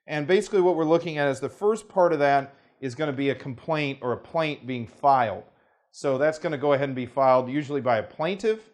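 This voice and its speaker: American lawyer guy explaining stuff, formal and careful, lots of qualifiers, middle pitch. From United States. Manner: measured, formal legal phrasing with hedges and restarts. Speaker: attorney presenting a legal explainer. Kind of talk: unscripted talking-head explanation.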